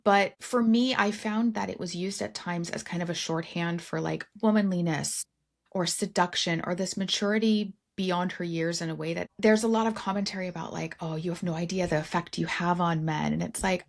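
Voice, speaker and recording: Animated, frank woman chatting about books. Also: lowish voice, moderate pace, gravelly. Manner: animated quoting and role-playing of imagined dialogue, frank and joking; uses "like" frequently and swears casually. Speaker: podcast host. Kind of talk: casual book discussion.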